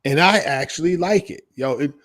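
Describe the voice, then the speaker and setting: A man's voice: on the high side, normal speed, a bit bright. Casual man co-hosting a podcast. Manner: informal, slangy, swearing. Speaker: podcast co-host.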